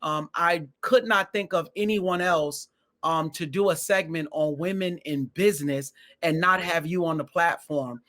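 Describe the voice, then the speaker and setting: A man's voice: fairly high pitch, a little slow, bright, forceful tone. A man hosting an online interview show, upbeat and enthusiastic, often repeating phrases for emphasis. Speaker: host.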